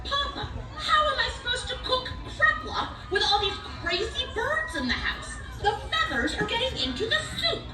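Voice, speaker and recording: A woman voicing an excitable, fretful village female in a live puppet show, full of exclamations. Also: very high-pitched, moderate pace, few pauses. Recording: echoey, distant, music and crowd behind.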